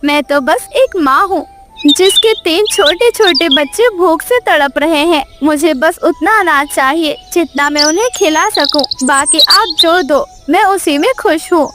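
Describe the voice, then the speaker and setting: A woman playing a very high, tender mother sparrow. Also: a little slow, music in the background. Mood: pleading, grateful. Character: kind, humble mother sparrow, gentle and forgiving. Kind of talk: cartoon moral story acting.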